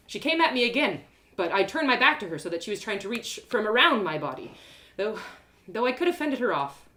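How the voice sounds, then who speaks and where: Lively bright-voiced woman telling a story. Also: medium pitch. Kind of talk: expressive first-person fantasy story narration.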